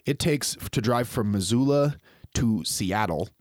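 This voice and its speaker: A man's voice: medium pitch, lively intonation, unhurried, slight background noise. A man co-hosting a podcast, rambling through stories and jokes in a self-deprecating way. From Montana, US. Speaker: podcast co-host. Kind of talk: casual podcast chat.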